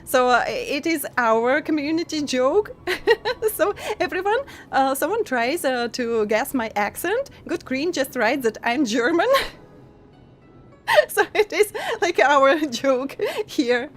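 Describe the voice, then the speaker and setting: High-voiced, giggly woman streamer. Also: animated, a bit bright. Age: young adult. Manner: giggly, halting with many pauses and restarts. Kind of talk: casual live-stream chat with viewers.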